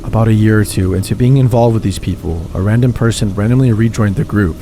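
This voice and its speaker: A man narrating first-person gaming horror stories in a steady, measured reading. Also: lowish voice, noisy background. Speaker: channel host reading submitted stories. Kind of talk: scripted horror story narration.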